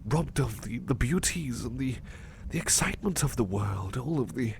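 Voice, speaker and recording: A man playing a devoted, anxious butler with a haunted past in an intimate ASMR roleplay, speaking softly and haltingly with stammers. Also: medium pitch, expressive, creaky, background noise. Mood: wistful, pleading. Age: middle-aged or younger adult. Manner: hesitant, stammering, soft-spoken, drifting into whispers.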